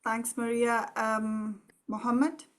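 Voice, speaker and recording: A woman with a fairly high voice speaks as moderator on a video call. She is earnest and formal, delivering prepared remarks to an online panel. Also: flat delivery, takes it slowly. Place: indoor room, video call. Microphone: video call microphone.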